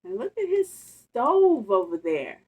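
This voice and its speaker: Slow, very animated woman reading storytime. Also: on the high side, breathy edge, phone-quality sound. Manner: expressive, playful, chatty. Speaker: storytime reader. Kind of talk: read-aloud with casual asides to children.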